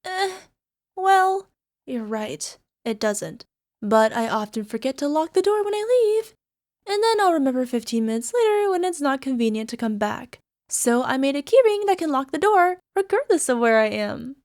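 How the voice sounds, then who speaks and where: A woman's voice: sits high, big pitch swings, moderate pace, a little mellow, dry recording, studio quality. A woman playing a lovesick, possessive young alchemist in an ASMR girlfriend roleplay, speaking in a coy, coaxing, sweetly menacing way. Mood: smug, calm. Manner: coy, coaxing, giggly, tag questions. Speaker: voice actor.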